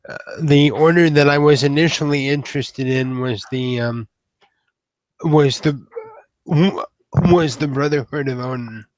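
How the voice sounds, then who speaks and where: A man playing an earnest, polite young troll newcomer in an improvised roleplay, speaking haltingly in rather formal words. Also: medium pitch, steady tone, no echo, lo-fi sound, close to an everyday voice. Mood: hesitant, apologetic. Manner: hesitant, halting, formal wording. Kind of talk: improvised in-character roleplay dialogue.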